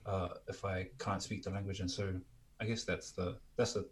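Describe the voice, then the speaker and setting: Low-voiced man, candid musician guest. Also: level tone, over a noisy crowd. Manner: candid, halting, thoughtful phrasing. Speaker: musician guest being interviewed. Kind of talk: casual reflective interview chat.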